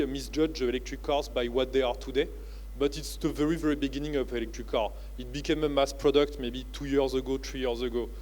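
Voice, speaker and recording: A man with a French accent giving a conference keynote talk, halting often with fillers like "uh" and "you know, like". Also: mid-range voice, a little mellow, slight background noise, poor audio. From France. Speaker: keynote speaker. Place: convention centre stage.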